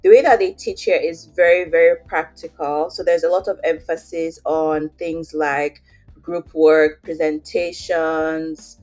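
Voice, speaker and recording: A woman's voice: deep voice, steady tone, unhurried, darkish tone, faint music behind. A young woman with a Nigerian accent, telling her story as an interview guest in a chatty way, with many fillers. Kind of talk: casual interview, personal story.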